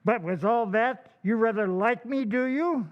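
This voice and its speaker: An actor playing a gruff, courtly old grandfather who speaks slowly and formally in an old-time radio drama. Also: very high voice, muffled recording. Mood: gruff, challenging. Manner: slow, formal, emphatic.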